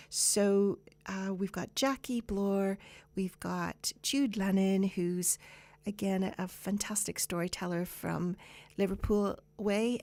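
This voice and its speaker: Canadian woman hosting, slightly creaky voice. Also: mid-range voice, a little mellow. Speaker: radio show host. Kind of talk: chatty unscripted radio announcements.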